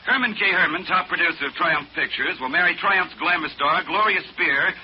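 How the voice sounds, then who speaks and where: An actor playing a self-assured Hollywood radio gossip broadcaster, punchy and emphatic in 1940s style. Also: male voice, fairly high pitch, normal speed, husky. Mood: upbeat, punchy. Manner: punchy, emphatic, scolding at times. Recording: dull, lightly noisy 1940s radio recording.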